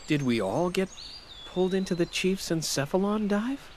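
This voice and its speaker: A man's voice: high-pitched, expressive, moderate pace, slight background noise. A man playing an inquisitive, slightly anxious young technician in a dubbed sci-fi game cutscene. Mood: puzzled.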